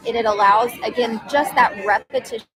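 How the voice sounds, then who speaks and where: A woman with a somewhat high voice, a guest on a casual chat show. She speaks with upbeat enthusiasm and loose fillers, while a crowd murmurs clearly behind her. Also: fairly even intonation, fairly quick. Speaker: guest, business development manager. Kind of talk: casual podcast interview.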